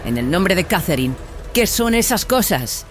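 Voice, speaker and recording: Una mujer que interpreta en un audiodrama a una hermana de batalla curtida que informa con urgencia en pleno combate. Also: female voice, fairly low pitch, sing-song, normal speed, faint music behind. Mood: alarmed. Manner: urgent, soldierly. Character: Lece, hardened female battle sister reporting under fire. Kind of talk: audio drama acting.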